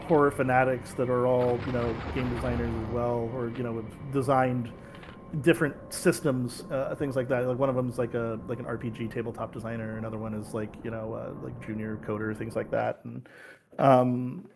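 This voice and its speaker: A man's voice: medium pitch, flat delivery, a little fast, creaky, a little mellow, a little hiss or hum. A man from California talking casually in an interview as a game publisher, rambling with many fillers and restarts over a video call.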